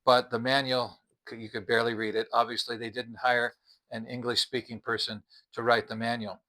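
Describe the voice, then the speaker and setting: A man's voice: medium pitch, little pitch movement, brisk, bright, forceful tone, poor audio. A middle-aged or older man giving a casual product review, explaining things in a plainspoken, methodical way.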